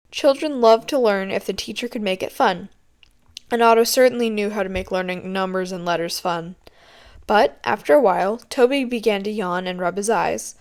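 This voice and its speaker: A woman reads a story aloud in scripted narration. She is an amateur audiobook reader, and her voice sits at an average pitch for a woman.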